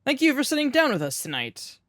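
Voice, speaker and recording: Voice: sits high, huge pitch swings, fairly quick, a bit bright, no echo, studio quality, full character voice. A performer playing a mysterious late-night paranormal radio show host, speaking in a theatrical, suspenseful way. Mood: mysterious, welcoming.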